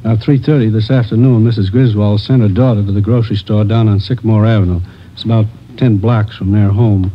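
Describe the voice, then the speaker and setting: Lowish, matter-of-fact police lieutenant actor. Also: male voice, steady tone, a little fast, natural voice. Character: weary, businesslike male police lieutenant. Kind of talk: 1950s radio drama acting. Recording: muffled vintage radio recording.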